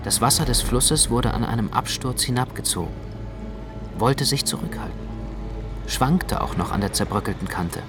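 An actor playing an awed witness in a dramatic literary radio narration. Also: male voice, medium pitch, expressive, moderate pace, lightly acted. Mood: awed, intense. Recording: clear music behind the voice.

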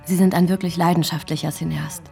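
A woman playing a poised, shrewd film actress in a radio play, polite and measured. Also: lowish voice, steady tone, creaky, dark tone, faint music behind. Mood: poised, charming. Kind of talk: radio drama dialogue.

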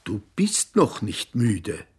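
Radio drama role: coaxing, schoolteacherly carer, measured slow pace, very lively pitch, husky tone. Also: female voice, exaggerated voice. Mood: patient, coaxing. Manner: deliberate, schoolteacher-like. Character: patient, coaxing carer teaching a confused relative from pictures.

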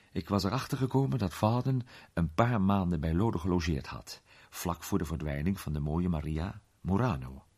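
Gentleman detective, very low pitch, rough husky tone, urbane storyteller, measured suspense, radio play.

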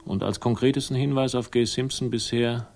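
An actor playing a brooding, sardonic detective who narrates and speaks in a 1960s German radio crime drama. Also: male voice, mid-range voice, fairly even intonation, fairly quick, creaky, noisy background, natural voice. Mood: dry, wry. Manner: laconic, sardonic. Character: dry, brooding hard-boiled male detective, defensive about a lost woman. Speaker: narrator and lead character.

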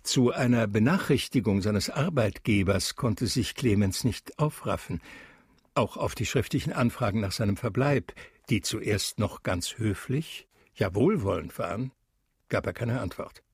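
A man gives a deliberate, expressive literary narration for a radio drama. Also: fairly low pitch, slightly rough, dry recording, clean studio sound.

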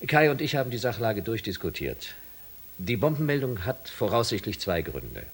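A man acting as an authoritative shuttle commander in a German science fiction radio drama, speaking in formal, measured announcements. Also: lowish voice, big pitch swings. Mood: official, emphatic. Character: male shuttle commander, authoritative, reassuring, official.

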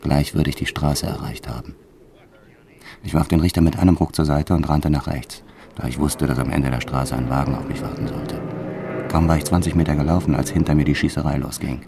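An actor playing a hardened, world-weary gangster who recounts his crimes gravely and deliberately in a radio drama, with a gravelly voice. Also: rumbling low, fairly even intonation, background noise. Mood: tense, gripping. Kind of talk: first-person radio drama narration with acted dialogue.

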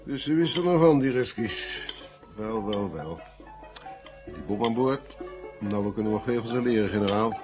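An actor playing a wry, dryly polite American government space expert in a radio drama. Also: male voice, fairly low pitch, animated, moderate pace, over music, close to an everyday voice. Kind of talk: 1970 Dutch radio drama acting. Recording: dull 1970 radio recording.

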